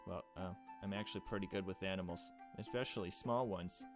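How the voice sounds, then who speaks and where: A man's voice: low voice, brisk, music in the background. A man voicing a meek, earnest young woman from a visual novel, hesitant and polite. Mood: hesitant, pleading. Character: meek, earnest young woman who loves small animals. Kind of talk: visual novel dialogue read aloud.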